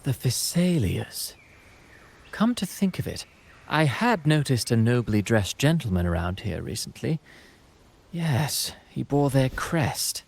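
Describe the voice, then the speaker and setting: An actor playing a courteous, wordy playwright with eloquent, poetic and measured phrasing in a video game. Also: male voice, medium pitch, big pitch swings, gravelly, full character voice. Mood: courteous, musing. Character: courteous, wordy male playwright with a theatrical flair. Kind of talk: scripted game dialogue. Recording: music playing behind the voice.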